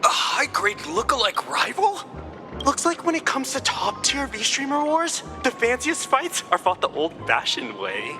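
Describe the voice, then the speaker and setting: A woman voicing an excitable female event announcer in a video game, with breathless, hyped commentary. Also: high voice, unhurried. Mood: excited. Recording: game audio with music underneath.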